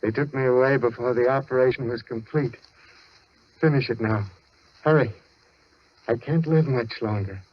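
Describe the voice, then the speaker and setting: A man's voice: mid-range voice, moderate pace, long pauses, natural voice. An actor playing an intense man who poses as a dying former patient in an old-time radio thriller. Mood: weak, dying. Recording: muffled old radio recording, music underneath.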